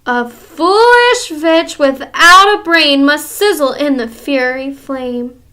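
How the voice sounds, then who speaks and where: Lady reading as a screechy bossy witch boss, high and loud, ranting orders, storybook villain. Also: slow pace, full character voice. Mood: menacing. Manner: screaming, commanding, ranting. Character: tyrannical shrieking female witch leader. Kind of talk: audiobook character reading.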